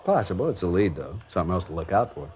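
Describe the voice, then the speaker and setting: A low-voiced American detective in a 1950s radio drama. He is methodical and plainspoken, briskly clipping his sentences on a dull, aged recording. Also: big pitch swings, darkish tone, a little hiss or hum. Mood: measured, serious. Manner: clipped, matter-of-fact, drops subjects from sentences. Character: plainspoken, methodical male detective sergeant from out of town.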